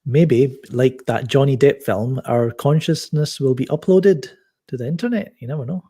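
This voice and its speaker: Soft-spoken man, husky and mellow. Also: medium pitch. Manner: soft-spoken, halting, many restarts. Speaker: podcast guest. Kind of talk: casual chat.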